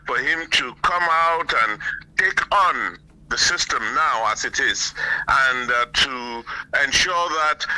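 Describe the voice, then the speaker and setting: A man giving earnest, deliberate political commentary on a call, repeating phrases for emphasis. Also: a little high, sharp tone, low-quality recording. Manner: halting, deliberate, emphatic repetition. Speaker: guest caller, political commentator. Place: remote call.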